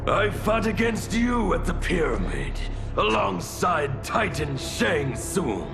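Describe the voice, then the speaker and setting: An actor playing a gleeful, theatrical villain, a cleric of chaos in a video game, with a gravelly voice. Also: male voice, sits high, big pitch swings, full character voice. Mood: mock-grateful, gleeful. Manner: theatrical, drawn-out delivery. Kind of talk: video game cutscene acting. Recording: loud game music underneath.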